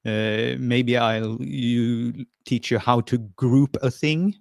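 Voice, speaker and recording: Guy w doing a software walkthrough on a video call, kinda gravelly, lots of uhs, friendly. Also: medium pitch, steady tone, takes it slowly, dry recording, clean studio sound. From Sweden. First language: Swedish. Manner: hesitant, many fillers and restarts; friendly and encouraging. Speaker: presenter. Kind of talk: screen-share software tutorial.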